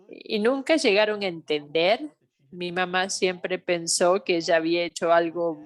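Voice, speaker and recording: A woman simultaneously interpreting a health training webinar, speaking in halting phrases with pauses and self-corrections. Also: on the low side. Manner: halting delivery with pauses and restarts.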